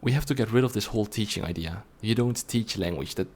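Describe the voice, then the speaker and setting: A man with a fairly low voice presents an explanatory monologue on video. He speaks earnestly and didactically, addressing viewers directly. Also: fast talker, darkish tone. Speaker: language learning video presenter.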